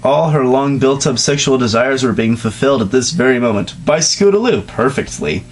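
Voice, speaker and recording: A man's voice: medium pitch, animated, moderate pace. A man reading a story aloud, breaking off for mocking, sarcastic asides. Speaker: reader in a comedic dramatic reading.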